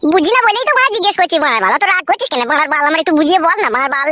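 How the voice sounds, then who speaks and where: Cartoon young husband , male actor: high voice, breakneck delivery, coarse, whiny, hot-tempered. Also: big pitch swings, very fast, bright, forceful tone, full character voice. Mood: pestering. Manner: coarse, swearing, badgering. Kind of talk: crude comedy cartoon dialogue.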